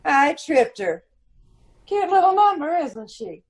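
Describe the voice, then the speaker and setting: A woman's voice: sits high, very animated, takes it slowly, heavily acted. A woman playing a cool, wry detective's wife in an old-time radio comedy mystery, dry and probing.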